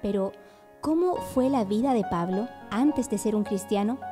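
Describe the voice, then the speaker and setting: A woman's voice: medium pitch, animated, moderate pace. A woman reading scripted narration for a radio drama. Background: faint music.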